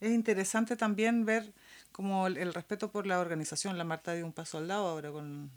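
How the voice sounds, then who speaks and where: A woman's voice: fairly low pitch, fairly even intonation, fairly quick. A woman with a Chilean accent co-hosting a casual political discussion, speaking colloquially and repeating words for emphasis. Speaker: podcast co-host.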